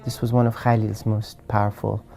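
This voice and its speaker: Creaky-voiced man speaking earnestly. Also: lowish voice, little pitch movement, normal speed, over loud music. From Iran. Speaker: author. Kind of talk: documentary interview, storytelling.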